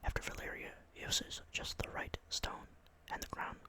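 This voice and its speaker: A tired young man doing whispered ASMR, reading game lore close to the mic with rambling, excitable, apologetic asides. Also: moderate pace. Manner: soft unvoiced whisper throughout. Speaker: ASMR creator. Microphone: close microphone.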